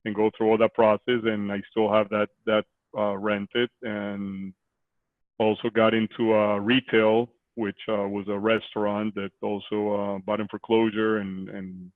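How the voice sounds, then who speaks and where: Man on a tinny call line, flat voice. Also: fairly low pitch, measured pace, no echo. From Costa Rica. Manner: hesitant, many fillers and restarts. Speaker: guest real estate investor. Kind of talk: conversational interview. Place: small office indoors. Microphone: video call.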